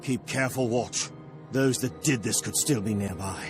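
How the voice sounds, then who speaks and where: An actor playing a stern, devout army captain in a fantasy video game, grave and commanding. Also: male voice, medium pitch, normal speed, natural voice. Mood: wary resolve. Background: faint game music.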